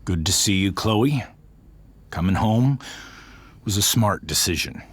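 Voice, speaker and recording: An actor voicing a gruff middle-aged military veteran stepfather in a game cutscene, American, speaking in a halting, earnest way. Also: fairly low pitch, lively intonation. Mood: stiff, reluctant. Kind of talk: dramatic game cutscene acting.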